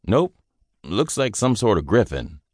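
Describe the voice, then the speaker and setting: A man's voice: on the low side, big pitch swings, measured pace, a little mellow, no room sound, studio quality, pitched above the performer's own voice. A man voicing a naive, eager young army private who asks earnest questions. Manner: wide-eyed, earnest questioning.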